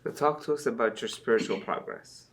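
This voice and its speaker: A man hosts an interview podcast and asks short, encouraging questions. His pitch sits in the middle range for a man. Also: expressive, brisk, a bit bright. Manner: brief, encouraging prompts.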